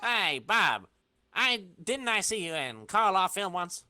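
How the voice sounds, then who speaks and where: Mocking newshound, man acting, flippant, high and bright, teasing. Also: sing-song, slow pace, dry recording, studio quality, heavily acted. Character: brash, mocking wisecracking newspaper reporter. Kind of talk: dramatic comic-book reading.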